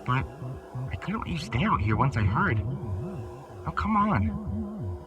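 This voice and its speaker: An actor playing a loyal, rebellious young academy student in a radio drama, talking informally and coaxingly. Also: male voice, fairly high pitch, very animated, slow, crowd noise. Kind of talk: cinematic radio drama acting.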